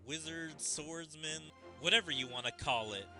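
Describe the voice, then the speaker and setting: A man doing casual gaming commentary as a streamer, with an exasperated, animated delivery over game music. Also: fairly high pitch, normal speed. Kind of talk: casual game playthrough, reading dialogue aloud.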